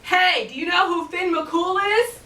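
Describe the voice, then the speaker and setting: A woman's voice: extremely high pitch, unhurried, bright, forceful tone, a little hiss or hum, voice pushed up, full character voice. A woman voicing a huge boastful male giant who hollers threats across the sea. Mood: bellowing threat. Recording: roomy, distant mic.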